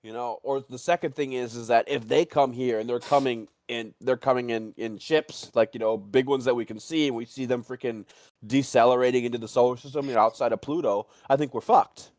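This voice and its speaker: Casting: man, mid-pitched voice, blunt sweary casual talker, dry recording. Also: steady tone, brisk, a little mellow, dry recording. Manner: blunt, profane, repeats himself. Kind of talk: casual chat.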